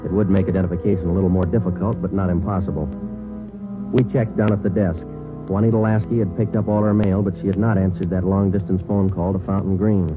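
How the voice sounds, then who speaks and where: A man acts a terse police detective in an old radio drama. His voice is low and he talks quickly in a clipped, matter-of-fact way. The vintage recording is dull. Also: flat delivery, music in the background, natural voice. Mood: flat, factual. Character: terse, businesslike male police sergeant narrating his case. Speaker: lead police detective and narrator. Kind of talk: 1950s radio police drama narration and dialogue.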